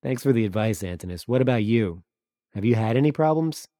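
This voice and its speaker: A man's voice: medium pitch, expressive, brisk, mellow, no room sound, natural voice. A man voicing a dry, easygoing visiting journalist. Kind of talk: audiobook character dialogue.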